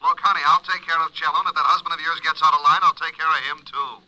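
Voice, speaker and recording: An actor playing a brash, grouchy playboy lover in a 1950s radio drama, curt and wisecracking. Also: male voice, on the high side, fairly even intonation, fast talker, close to an everyday voice, poor audio. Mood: tough, threatening. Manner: curt, wisecracking, tough-talking.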